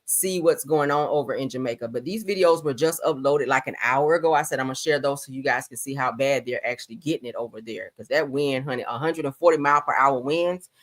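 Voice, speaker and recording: A woman's voice: a bass voice, quick pace, few pauses. A woman hosting a livestream, chatting casually over news clips and calling her viewers "child" and "honey". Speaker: livestream host.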